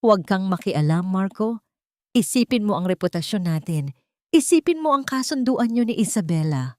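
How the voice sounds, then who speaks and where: Woman storyteller as a stern old matriarch, cruel, status-obsessed, harsh, commanding, animated pitch swings, close dry sound. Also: normal speed, no echo, close to an everyday voice. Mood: stern, scolding. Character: domineering, cruel, status-obsessed old rich mother-in-law.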